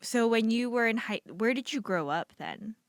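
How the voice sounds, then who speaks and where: A woman hosting a podcast interview, asking questions with warm, encouraging reactions. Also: a little high, expressive, normal speed, no room sound. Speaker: podcast host. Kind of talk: casual interview.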